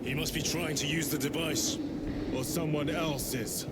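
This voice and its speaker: An actor playing a proud, dutiful and earnest space soldier who speaks formally in a video game cutscene. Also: male voice, fairly high pitch, steady tone, moderate pace, heavy background noise, natural voice. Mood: grim.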